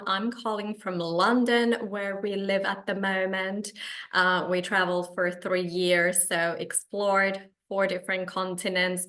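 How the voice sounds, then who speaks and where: Female coach, Finnish accent, unhurried delivery, presenting a live webinar to participants. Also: mid-range voice, pushed and bright. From Finland. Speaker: coach hosting an online meetup.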